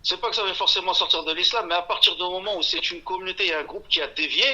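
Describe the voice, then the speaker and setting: A man's voice: sits high, racing, bright, forceful tone. A man on the phone as an interview guest, blunt and categorical, cutting in over the host. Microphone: telephone. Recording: muffled phone line.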